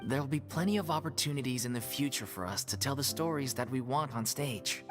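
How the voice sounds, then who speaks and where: Actor as a hopeful troupe member, mid-pitched, reassuring, music behind. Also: a little fast, close to an everyday voice. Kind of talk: video game voice acting.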